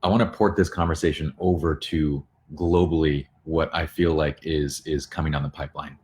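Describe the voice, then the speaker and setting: A man's voice: extremely low pitch, steady tone, moderate pace, a little mellow. A man hosting a casual live discussion from his bedroom at home, explaining things earnestly in an informal way. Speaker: photography studio owner hosting a livestream.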